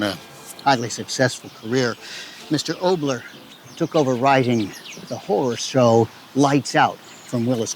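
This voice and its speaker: Casting: male horror-show host, mid-pitched, theatrical with dramatic pauses, recorded outdoors. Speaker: host introducing an audio drama. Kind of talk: theatrical spoken introduction. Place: outdoors in a cemetery. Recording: faint outdoor noise, birdsong. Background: birdsong.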